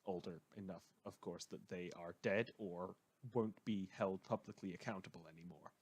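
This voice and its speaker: A man acting as an eccentric community radio news host with a fairly low voice. He is self-important and cheerfully morbid, delivering scripted comedy news with theatrical flourish and a deadpan, rambling manner. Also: normal speed, slightly rough. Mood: wry, rambling.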